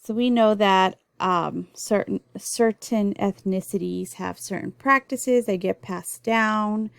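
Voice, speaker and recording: A woman's voice: medium pitch, slow pace, creaky, clear, resonant tone, no echo. Woman lecturing on nursing, explanatory with frequent ums and restarts, recorded dry. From El Salvador, raised in the US. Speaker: nursing instructor.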